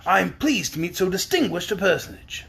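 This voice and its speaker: A reader voicing a humble, genial little old male wizard who speaks softly, slowly and wryly. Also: medium pitch, sing-song, creaky, slightly rough, full character voice. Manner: soft, slow, wry.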